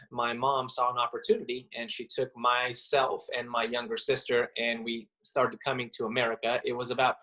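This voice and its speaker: Man, interview guest, thoughtful, pauses and fillers. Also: medium pitch, fairly bright tone, low-quality recording. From Romania. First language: Romanian. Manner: reflective, halting, many fillers. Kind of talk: casual interview.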